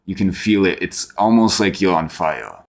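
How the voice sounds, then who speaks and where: A man narrating a tabletop fantasy game as game master, deliberately and with dramatic pauses. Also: low-pitched, lively intonation, vocal fry.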